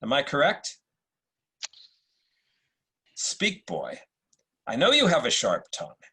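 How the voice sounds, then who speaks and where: Roman emperor, played by a man: very rough breathy voice, unhurried, philosophical, sweeping delivery for historical drama. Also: medium pitch, wildly swooping pitch, bright, forceful tone, full character voice. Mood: probing, commanding. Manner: deliberate, oratorical, with weighty pauses. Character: wise, measured Roman emperor, philosophical and probing. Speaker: actor at a table read. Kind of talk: dramatic table-read acting.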